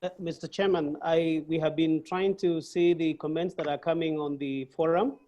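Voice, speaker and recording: A man's voice: fairly high pitch, fairly even intonation, normal speed, dark tone, slight background noise. A man acting as webinar secretary, courteously advising the chair on how to handle questions.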